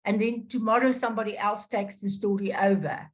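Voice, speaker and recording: A woman speaking as a journalist on a panel over a video call, talking in a halting way with many restarts. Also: medium pitch, steady tone. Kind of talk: unscripted panel talk.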